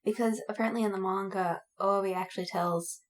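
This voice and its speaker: A woman's voice: medium pitch, steady tone, a little fast. A woman podcast co-host in a casual chat, talking in a giggly, gushing fangirl way. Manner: giggly, gushing fan enthusiasm. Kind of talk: casual chat about anime and fandom.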